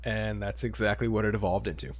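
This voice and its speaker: A man's voice: fairly low pitch, fairly even intonation, fast talker. A man hosting a podcast in a casual, conversational style. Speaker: podcast host. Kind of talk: casual chat about superhero films.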